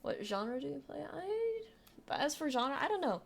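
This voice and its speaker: A woman's voice: high-pitched, very animated, moderate pace, creaky. Chatty young woman with a girlish voice. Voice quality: youthful, girlish voice. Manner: rambling, chatty, self-deprecating, many restarts and fillers. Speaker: streamer. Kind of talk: casual live-stream chat.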